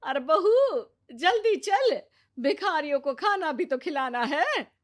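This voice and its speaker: A woman's voice: high voice, big pitch swings, takes it slowly, full character voice. A woman voicing a shrewd older mother-in-law with secret powers in a moral story, speaking expressively. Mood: bossy, hurried. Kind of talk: acted Hindi moral story dialogue.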